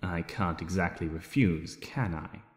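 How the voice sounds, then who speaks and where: A man's voice: sits low, voice pushed down. A man voicing a menacing male monster villain in a storybook reading, scornful, grandiose and disdainful in his speechmaking. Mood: tempting, grandiose. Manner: slow, disdainful, rambling speechifying. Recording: roomy, long reverb.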